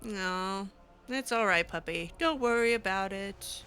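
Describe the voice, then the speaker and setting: Bright, sarcastic woman gamer. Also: medium pitch, unhurried, a little hiss or hum. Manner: sarcastic, exasperated. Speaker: gamer playing in character. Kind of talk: roleplay game commentary, casual chat.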